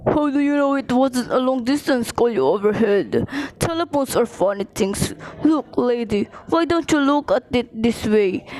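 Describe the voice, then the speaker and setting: A woman's voice: a little high, darkish tone, voice pushed down, close to an everyday voice, poor audio. A woman voicing a brusque, busy, dismissive police desk officer in an amateur radio play, curt and reassuring by turns.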